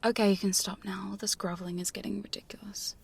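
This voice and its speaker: A woman's voice: mid-range voice, level tone. A woman playing a teasing, domineering female vampire hunter in a mostly whispered, seductive ASMR roleplay. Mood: bossy, condescending. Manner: slow, breathy, seductive, commanding. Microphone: close studio condenser microphone.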